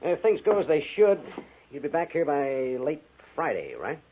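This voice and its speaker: Weary army major, lively intonation. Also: male voice, on the high side, moderate pace. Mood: businesslike. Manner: terse, weary authority. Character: worried, resigned male army major, frontier fort commander. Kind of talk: 1950s radio western acting. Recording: muffled, faintly noisy vintage radio recording.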